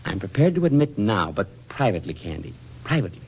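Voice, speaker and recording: Folksy teasing husband, swoopy lively voice, dull old 1940s radio sound. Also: male voice, on the low side, fast talker, husky, full character voice. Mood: teasing, fond. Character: affectionate, teasing, excitable husband. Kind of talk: 1940s radio drama acting. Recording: dull vintage radio drama recording.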